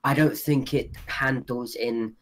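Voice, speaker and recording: A man's voice: medium pitch, fairly even intonation, slow. A man bantering casually on an online voice chat, blunt and teasing. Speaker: panel member. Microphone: online voice chat, push-to-talk.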